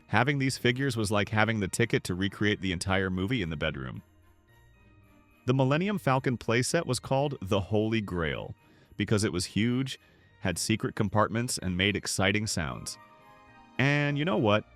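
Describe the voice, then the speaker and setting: A man with a fairly low voice delivers scripted narration in an earnest storytelling manner. His intonation swings up and down in an animated way, and music plays softly behind him. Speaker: narrator of a nostalgia video.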